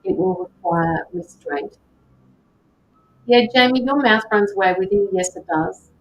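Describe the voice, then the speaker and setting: A woman doing live tarot and mediumship readings, speaking gently to viewers by name with frequent hesitations. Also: sing-song, unhurried. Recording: slightly dull lo-fi sound with faint rumble.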